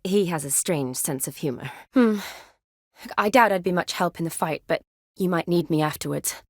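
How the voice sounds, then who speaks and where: An actress playing a young woman army medic in a video game, caring, playful and briskly practical, with a natural, teasing delivery. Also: fairly low pitch, dry recording, studio quality. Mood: wry, playful. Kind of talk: video game character dialogue.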